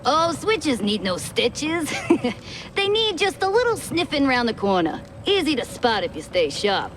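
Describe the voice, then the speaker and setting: A woman's voice: high voice, sing-song, measured pace, background noise. A woman playing a cheeky, folksy guide in a cartoon game, with a playful drawl and slangy way of talking. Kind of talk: cartoon video game acting.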